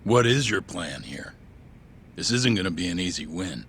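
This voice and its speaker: A man's voice: deep voice, animated, normal speed, fairly bright tone, a little hiss or hum. A man voicing a blunt, teasing friend in a video game cutscene.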